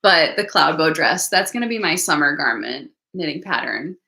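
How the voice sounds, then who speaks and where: A woman's voice: deep voice, expressive, moderate pace, creaky, sharp tone. A woman hosting a casual knitting livestream from home, rambling and thinking aloud, a bit sniffly. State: sniffly from allergies. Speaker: knitting channel host. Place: home craft room, indoors.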